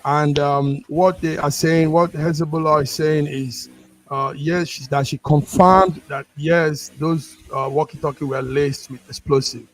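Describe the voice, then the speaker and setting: A man hosting an unscripted news discussion, halting and often repeating words. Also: on the high side, steady tone, poor audio.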